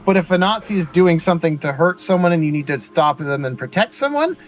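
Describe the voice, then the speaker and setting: A young man chats over a game, easygoing and laying things out step by step. His voice sits slightly high for a man and moves around a lot in pitch. Faint music runs underneath. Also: animated, fast talker. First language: Swedish. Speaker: co-host playing a game. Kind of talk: casual gaming chat.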